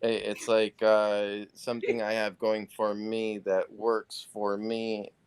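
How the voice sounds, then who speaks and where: A man chatting casually as a guest on a live talk show, laid-back and halting, with lots of fillers. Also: fairly low pitch, completely flat delivery, clear, resonant tone. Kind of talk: casual chat.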